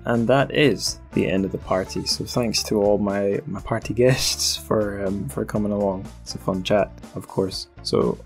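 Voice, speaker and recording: A man hosting a podcast in a casual chat, speaking in a thoughtful, halting way. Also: fairly low pitch, fairly even intonation, soft background music. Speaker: podcast host. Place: remote group call.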